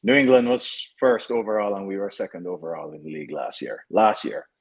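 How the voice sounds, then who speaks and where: A Jamaican-accented man in his fifties speaks in a fairly low voice. He talks as a soccer head coach in a casual interview, easygoing and conversational, with fillers and restarts. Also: huge pitch swings, a little fast, gravelly.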